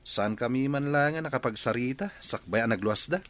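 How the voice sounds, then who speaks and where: An actor playing a polite young family friend with a deferential manner. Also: male voice, mid-range voice, a little fast, fairly bright tone, natural voice.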